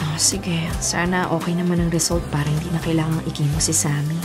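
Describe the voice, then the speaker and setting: An actress plays a patient young Filipino girlfriend in a radio drama. Her voice is slightly low, gentle and sincere, with music clearly behind it. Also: flat delivery, a little fast, natural voice. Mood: caring. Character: patient, supportive young girlfriend, caring and devoted. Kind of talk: Tagalog radio drama acting. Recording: music under the voice.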